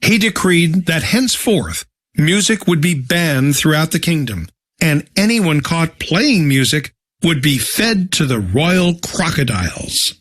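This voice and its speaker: A man narrating a children's storybook in an animated, scripted read-aloud style. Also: on the high side, measured pace. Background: instrumental music.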